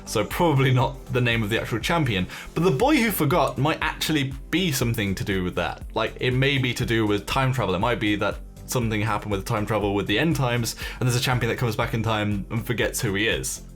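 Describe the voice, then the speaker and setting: A man's voice: mid-range voice, lively intonation, a little fast, a bit bright. A man hosting a gaming video, giving casual, speculative news commentary in an informal, tentative way, as if thinking aloud. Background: music behind the voice.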